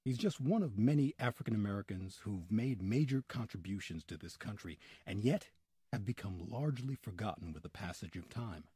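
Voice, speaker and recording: Animated, husky male radio storyteller. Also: fairly low pitch, fairly quick. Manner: dramatic, emphatic storytelling. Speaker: narrator, storyteller. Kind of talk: scripted old-time radio style narration.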